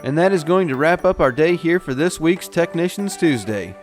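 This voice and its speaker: A man's voice: a little high, animated, moderate pace, faint music behind. A man narrating a step-by-step boat repair how-to as the show's technician host. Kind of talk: explanatory how-to voice-over narration.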